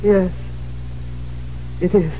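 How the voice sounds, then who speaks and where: An actress playing a horrified, distraught lady with dramatic, halting delivery in a 1970s radio drama. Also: on the low side, steady tone. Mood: distressed. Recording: muffled old radio, faint hum.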